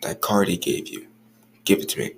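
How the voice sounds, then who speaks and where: A man playing a stern, jealous, irritable office boss in an audio roleplay, curt and guarded, with a gravelly voice. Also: normal speed, noisy background, natural voice. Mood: exasperated, protective. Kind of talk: scripted audio roleplay acting.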